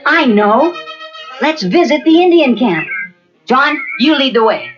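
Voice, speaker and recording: A woman's voice: sits high, animated, a little slow. A woman playing a cocky, adventurous boy in a children's storybook, confident and boastful. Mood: upbeat. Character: cocky, adventurous boy who never grows up. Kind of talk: children's storybook read-along acting. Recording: muffled cassette with music behind.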